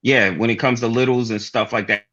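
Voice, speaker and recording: A man in his forties with a Baltimore accent, explaining things haltingly with many ums in casual chat. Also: mid-range voice, steady tone, a bit bright, lo-fi sound. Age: 40s. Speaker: guest, toy maker.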